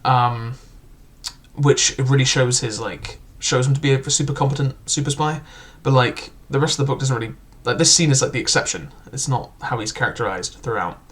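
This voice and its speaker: A man's voice: medium pitch, a little fast, a bit bright. Young man giving a rambling book review. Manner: chatty, full of "like" and "um" fillers. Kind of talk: casual spoken book review.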